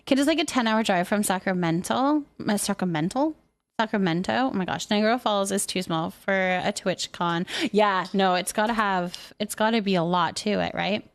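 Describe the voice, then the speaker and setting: A woman's voice: medium pitch, normal speed. A woman livestreamer chatting casually and ramblingly with her viewers in a chatty, giggly manner. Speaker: live streamer. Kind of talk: casual livestream chat, reading and answering viewers.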